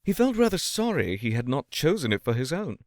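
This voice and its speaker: A man giving a measured, expressive reading of a classic ghost story as a scripted narration. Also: medium pitch, no echo, studio quality. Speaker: audiobook-style story reader.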